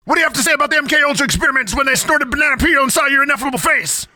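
Shrieking, unhinged conspiracy radio host. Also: male voice, very high voice, racing, no echo, clean studio sound, exaggerated voice. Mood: manic ranting. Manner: frantic, rambling, shouting. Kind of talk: parody talk-radio rant.

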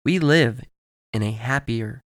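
A man voicing a polished, upbeat woman presenting a corporate promotional announcement in a measured way. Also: medium pitch, dry recording, clean studio sound. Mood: upbeat, soothing.

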